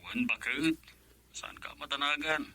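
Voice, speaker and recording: A man's voice: very high voice, huge pitch swings, measured pace, vocal fry, sharp tone, no room sound, exaggerated voice. An actor playing an affectionate husband and father in a radio drama, with a Filipino accent. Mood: tender. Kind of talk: Ilocano radio drama acting.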